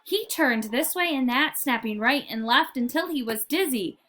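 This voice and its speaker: A woman narrating a children's picture book in an expressive, deliberate storytelling manner. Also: high voice, soft background music. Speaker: read-aloud storyteller.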